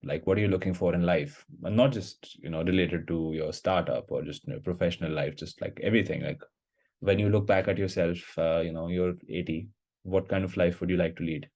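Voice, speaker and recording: Deep-voiced young podcast host. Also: male voice, fairly even intonation, fairly quick, darkish tone. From India. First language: Hindi. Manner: hesitant, many fillers and restarts while asking. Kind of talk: casual conversational interview.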